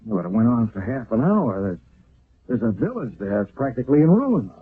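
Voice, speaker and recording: Tense weary sergeant, husky, lively pitch, tinny old radio sound. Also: male voice, fairly quick. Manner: tense, urgent, reporting. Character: weary, earnest army sergeant back from battle. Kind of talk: 1970s radio drama acting.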